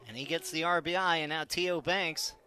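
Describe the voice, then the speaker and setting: A man's voice: a little high, steady tone, moderate pace, bright, forceful tone. A man calling a live baseball game on the radio as play-by-play announcer, in clipped, descriptive phrases. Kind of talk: live radio sports commentary.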